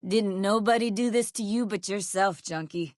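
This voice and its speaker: A woman's voice: medium pitch, moderate pace, darkish tone, no echo, studio quality, close to an everyday voice. A woman playing a foul-mouthed, sardonic ex-sheriff turned caravan guard in a video game, blunt and folksy in her speech. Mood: angry, contemptuous. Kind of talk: video game character voice lines.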